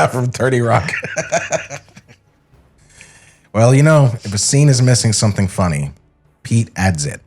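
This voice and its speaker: A man co-hosts a podcast with a very lively pitch range. His talk is casual banter, recorded in a studio over music. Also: takes it slowly, gravelly, long pauses. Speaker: podcast co-host.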